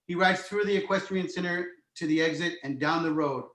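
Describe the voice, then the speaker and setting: A man narrates a screenplay, reading out scene headings and stage directions. His voice sits a bit high, and the room rings with echo. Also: a little fast, fairly bright tone. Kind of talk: scripted narration, screenplay table read. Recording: roomy, echoing home recording.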